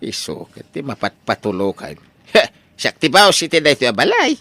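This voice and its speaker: Man playing a lively, lovestruck, stubborn old father. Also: medium pitch, moderate pace, no room sound, exaggerated voice. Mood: exasperated. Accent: Ilocano. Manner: emphatic, protesting. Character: lovestruck, exasperated, stubborn elderly widowed father. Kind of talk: Ilocano comedy audio drama acting.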